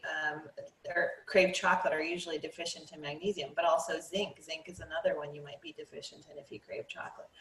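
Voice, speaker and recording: A woman's voice: deep voice, fairly bright tone, reverberant, recorded from a distance, slight background noise, rough recording. A woman talking casually as a nutrition coach guest, chatty and given to laughing and trailing off mid-sentence. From Northern European descent. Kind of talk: casual interview chat.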